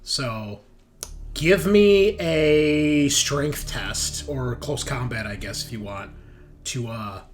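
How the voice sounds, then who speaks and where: A man's voice: mid-range voice, expressive, slow, a little hiss or hum. A man narrating a tabletop roleplaying game as game master, switching between dramatic, emphatic description and casual asides about the rules.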